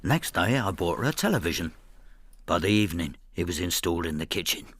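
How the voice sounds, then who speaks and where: Low-voiced, brooding older man. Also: expressive, fairly quick, fairly bright tone, no echo. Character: brooding older Frenchman, resentful, inward, estranged husband. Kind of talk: radio drama, intimate first-person narration and dialogue.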